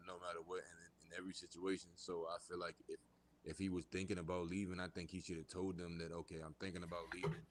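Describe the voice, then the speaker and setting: A man in his twenties chatting casually as a sports podcast guest, agreeable and slangy, feeling his way through answers. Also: sits low. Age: 20s. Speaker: podcast guest, American football player.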